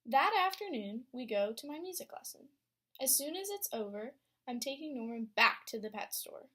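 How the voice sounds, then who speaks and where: Young female student teacher, expressive picture-book reader, slightly high voice. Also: normal speed. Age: young adult. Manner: expressive storytelling with teacher questions. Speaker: student teacher reading aloud. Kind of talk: picture book read-aloud to a class.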